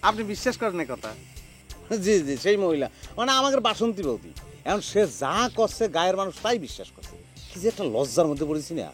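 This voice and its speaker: A man's voice: high-pitched, fairly bright tone. An actor playing a harried, touchy young village man in a comedy drama, arguing with rhetorical questions. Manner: argumentative, full of rhetorical questions. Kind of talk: Bengali TV comedy drama acting.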